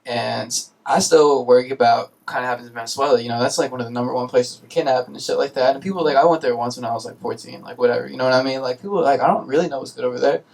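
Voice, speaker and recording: A man's voice: mid-range voice, steady tone, rapid-fire, vocal fry, hardly any pauses, a little mellow, rough recording. A young man hosting a college radio talk show, rambling in casual street slang with lots of fillers. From United States. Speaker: radio host.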